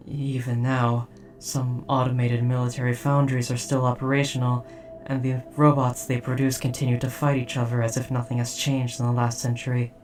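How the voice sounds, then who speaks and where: A performer voicing an old, stoic, protective military robot in a formal, measured, literal, deadpan way for a scripted audio roleplay. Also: medium pitch, far from the mic, slight background noise, lightly acted. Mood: grave.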